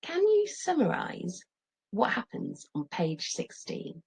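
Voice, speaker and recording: A middle-aged woman reads a children's story as a teacher would, with very expressive, varied intonation. Her voice has a slightly husky edge and a medium pitch. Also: slow, low-quality recording. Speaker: teacher reading aloud.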